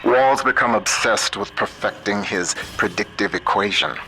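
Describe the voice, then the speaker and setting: An actor playing a shrewd, principled American computer scientist in a video game, middle-aged, wry, measured and plain-spoken. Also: male voice, lowish voice, music in the background, lightly acted, low-quality recording. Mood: exasperated. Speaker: guide character. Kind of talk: video game voice acting, audio diaries and radio guidance.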